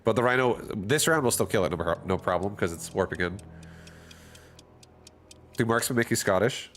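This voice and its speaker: A man's voice: lowish voice, normal speed, a bit bright, slight background noise. A man casually thinking aloud and commenting on his own strategy game as he streams it. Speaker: gaming streamer.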